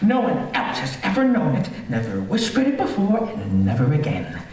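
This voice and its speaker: A man's voice: a little high, wildly swooping pitch, moderate pace, a little mellow. A man playing a vain, gloating serial killer in an old-time radio thriller on stage, with theatrical, gleeful cackling and relishing delivery. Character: gloating, vain male serial killer showing off his lair. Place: theater, live-streamed stage performance. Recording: echoey theater stage, distant, faint noise.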